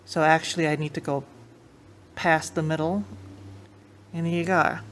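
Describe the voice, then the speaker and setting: A woman's voice: sits low, level tone, normal speed. A woman giving a casual how-to demonstration as a host, explaining informally and a little hesitantly. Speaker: host of a tape deck tech channel. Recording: clear background noise, room ambience.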